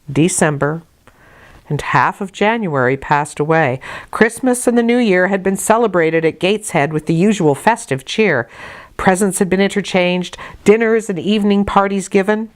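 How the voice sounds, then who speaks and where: A woman narrating a literary story in a measured, careful reading manner. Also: lowish voice. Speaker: audiobook narrator.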